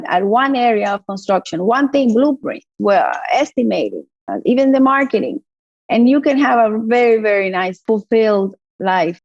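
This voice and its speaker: A woman's voice: fairly high pitch, dry recording. Woman, podcast host, encouraging, piles up rephrased questions, dull lo-fi sound. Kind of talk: casual interview conversation.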